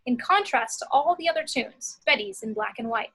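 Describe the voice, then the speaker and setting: A woman's voice: sits high, expressive, fairly quick, fairly bright tone, a little hiss or hum. A woman reading scripted action descriptions as narrator in an amateur screenplay table read.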